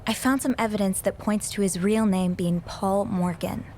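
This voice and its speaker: A woman's voice: medium pitch, normal speed, a little mellow, slight background noise, lightly acted. A woman playing an anxious young hotel maid in a video game, with a hesitant, earnest way of talking. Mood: earnest, hushed.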